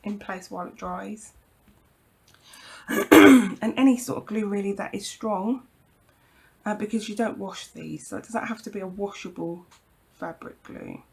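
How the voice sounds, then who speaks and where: Croaky, gravelly woman crafter. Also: medium pitch, unhurried, darkish tone. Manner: chatty and reassuring, frequent ums and apologies. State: croaky from hay fever. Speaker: craft tutorial host. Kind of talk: casual hands-on craft demonstration.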